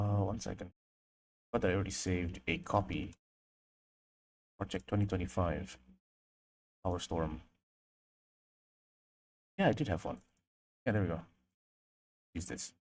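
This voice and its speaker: Low-voiced young man streaming, mumbling. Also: unhurried, pauses often, darkish tone. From Indonesia. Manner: mumbling to himself, trailing off. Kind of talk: casual livestream chat while modeling.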